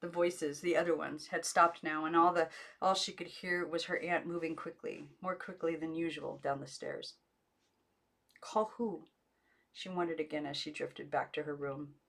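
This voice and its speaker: A woman's voice: sits low, level tone, moderate pace, gravelly, fairly bright tone. A woman narrating a children's novel as an amateur audiobook reader.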